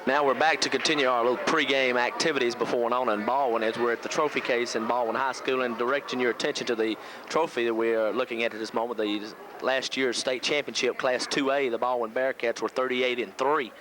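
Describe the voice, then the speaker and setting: Male local play-by-play announcer from the US, mid-pitched, pregame basketball commentary, clearly noisy vintage tape recording. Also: steady tone, fast talker. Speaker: local radio play-by-play sportscaster. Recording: noisy old VHS local radio audio.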